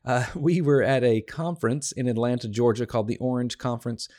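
A slightly hoarse, tired man hosting a devotional podcast, teaching a Bible passage in an earnest, encouraging way with emphatic repetition. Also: medium pitch, fairly even intonation, brisk, warm tone. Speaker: podcast host.

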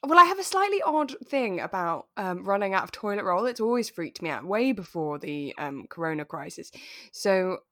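A British woman co-hosting a podcast in a relaxed, chatty way, talking casually as if with a friend. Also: mid-range voice, normal speed, no room sound, studio quality. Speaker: podcast co-host. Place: remote internet recording, dry room.

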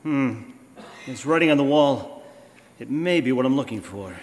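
A man playing an astute, grave gentleman sleuth in an old-time radio drama, measured and knowing. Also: medium pitch, animated, close to an everyday voice. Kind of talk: 1930s-style live radio drama acting. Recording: echoey live hall, noisy.

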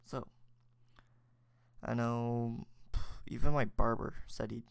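A man's voice: medium pitch, flat delivery, slow pace, vocal fry, clear, resonant tone, no echo. A young man hosting his own podcast alone, chatting casually and reflectively, rambling with many fillers and restarts. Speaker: podcast host. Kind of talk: solo casual chat.

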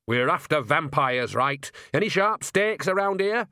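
A bossy old woman, as a male narrator acts her out, pitched up and animated. She is blunt, brisk and commanding, a village matriarch in a fantasy audiobook. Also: no echo, studio quality, exaggerated voice.

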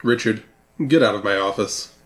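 A man's voice: on the low side, moderate pace, a bit bright, lightly acted. Man acting a dry noir police boss. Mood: dry, satisfied. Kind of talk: parody radio mystery acting.

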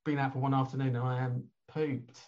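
A hoarse man, hosting an online chat show in a rambling, chatty way with lots of fillers. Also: medium pitch, flat delivery, fairly quick, darkish tone, muffled recording. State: hoarse from a cold. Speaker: host.

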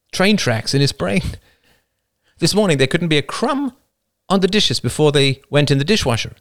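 A man's voice: on the high side, voice pushed up. A man voicing an anxious, defensive young man who stammers and talks in a pressured way.

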